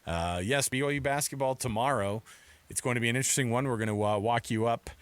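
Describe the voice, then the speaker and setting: A man's voice: mid-range voice, fast talker, clear, resonant tone, dry recording. An American man co-hosting sports talk radio in a casual, rambling, conversational way.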